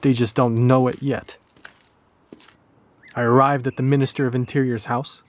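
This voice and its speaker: A man's voice: medium pitch, little pitch movement, moderate pace, lightly acted. A man playing a bitter, brooding Polish resistance executioner narrating his wartime journal in a dramatic audiodrama.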